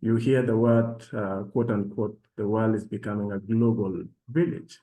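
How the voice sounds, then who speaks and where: Lower-pitched South Sudanese man, measured and formal. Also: fairly even intonation, dark tone, poor audio. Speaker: panelist and program alumnus. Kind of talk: panel talk, self-introduction. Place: online video session.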